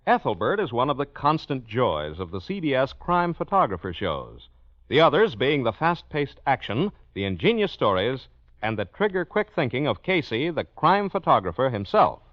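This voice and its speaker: Lively-pitched man announcing a vintage radio promo. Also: normal speed, no echo. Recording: muffled vintage radio, faint music.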